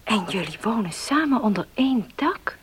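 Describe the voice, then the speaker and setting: An actress in a Dutch radio drama playing a compassionate, earnest woman, speaking softly in a husky, breathy voice. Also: a little high, big pitch swings, normal speed, a little hiss or hum. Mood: probing.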